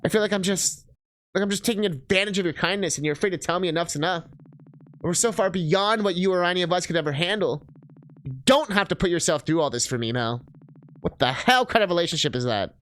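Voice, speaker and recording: A man voicing a protective, anxious young woman adventurer in a fantasy story, earnest, gentle and hesitant with a loved one. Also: high-pitched, fast. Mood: frustrated.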